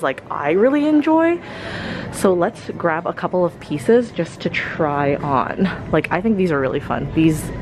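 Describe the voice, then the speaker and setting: A young woman in her twenties chatting casually through a clothing try-on vlog, rambling with fillers as she films on a handheld camera in a shop. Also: on the low side. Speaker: fashion vlogger. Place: clothing store, indoors.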